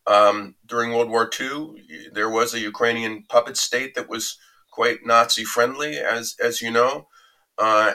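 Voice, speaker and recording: A man with a bright, forceful tone and a mid-range pitch speaks as an interview guest. He talks in a measured, reflective way and builds his answer through anecdotes. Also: rough recording. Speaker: interview guest recounting a reporting trip.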